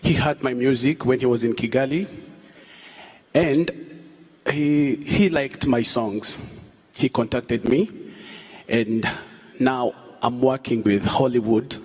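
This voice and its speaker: A Rwandan man in his thirties, a musician speaking on stage at a public event, halting, with fillers and repeated words. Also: mid-range voice, a little mellow, husky. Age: 30s. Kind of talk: onstage talk at a public event. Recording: long hall reverb.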